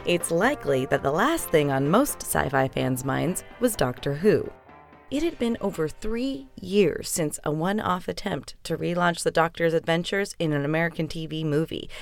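Woman podcast host reading a script, kinda low voice w lots of fry, super dry sound. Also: no room sound. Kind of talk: scripted narration.

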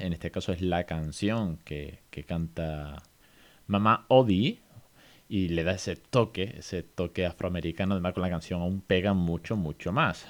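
A man hosting an educational podcast, chatty and relaxed, often asking his listeners questions. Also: sits low. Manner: chatty, many fillers, asks listeners questions. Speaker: podcast host. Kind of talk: casual educational podcast talk.

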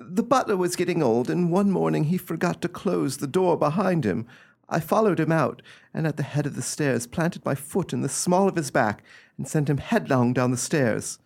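A man's voice: a little high, expressive, normal speed, gravelly, darkish tone. A man playing a frail, bitter nobleman freed from long imprisonment, in formal, dramatic radio play speech. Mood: grave, confessing.

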